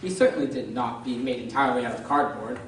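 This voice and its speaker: A woman playing the narrator of a children's fantasy radio play, reading descriptively in a measured storybook manner. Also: very deep voice. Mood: wry storytelling. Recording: very echoey room, distant, faint noise.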